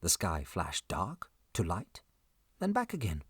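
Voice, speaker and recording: A narrator telling a children's folktale in an expressive storybook style, with a husky voice. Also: low voice, measured pace, no echo, studio quality, exaggerated voice.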